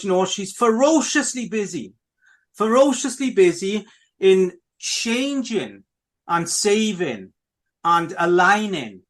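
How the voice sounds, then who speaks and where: Man, high voice, chatty radio host, talks straight to listeners, repeats himself. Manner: chatty, repetitive, keeps addressing listeners. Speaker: podcast host. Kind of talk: casual radio chat.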